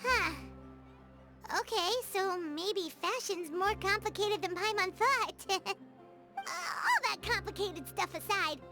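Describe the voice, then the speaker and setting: Brief: squeaky, bouncy little fairy sidekick, very high and animated, game dub. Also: female voice, takes it slowly, over music, heavily acted. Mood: curious. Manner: bubbly, talks about herself in third person. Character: tiny chirpy female floating fairy companion. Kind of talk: video game voice acting.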